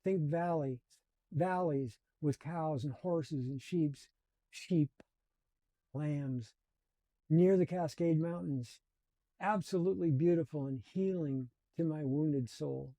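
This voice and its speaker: A man in his seventies telling his life story in a slow, reflective and earnest way. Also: on the high side, mellow, dry recording. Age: 70s. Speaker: channel host. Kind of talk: personal storytelling.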